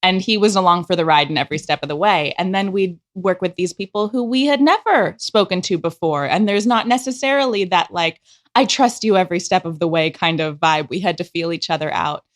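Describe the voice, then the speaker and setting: Enthusiastic woman with a lowish voice. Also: brisk. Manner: enthusiastic, expressive. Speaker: audio drama director and actor, guest. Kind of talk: casual interview chat.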